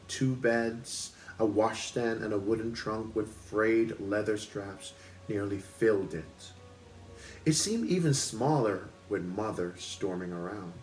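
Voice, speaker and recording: A man's voice: fairly low pitch, darkish tone, slight background noise, low-quality recording. A man hosting a book club, reading aloud expressively at home in a storytelling style.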